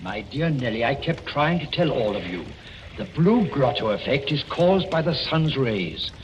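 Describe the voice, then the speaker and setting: Man playing sardonic skeptical doctor, formal phrasing, mid-pitched, very varied intonation, 1940s radio drama, muffled noisy old recording. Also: sing-song, moderate pace, lightly acted. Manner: formal, pedantic, dryly ironic.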